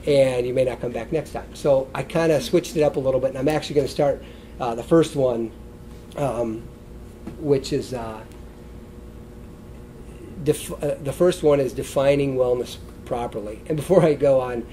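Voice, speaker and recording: A man's voice: medium pitch, moderate pace, warm tone, slight background noise. A middle-aged American man with a congested, sniffly voice gives an informal wellness lecture in a loose, conversational way. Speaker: chiropractor giving a wellness talk. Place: indoor stage beside a wooden lectern, seated.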